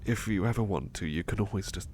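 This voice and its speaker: A man playing a devoted, polite butler in an ASMR roleplay, speaking softly and hesitantly with courteous formality, often slipping toward a whisper. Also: deep voice, fast talker, slight background noise, close to an everyday voice. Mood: tender, sleepy. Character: devoted, affectionate, polite male butler comforting his companion. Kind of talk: ASMR roleplay, intimate personal attention.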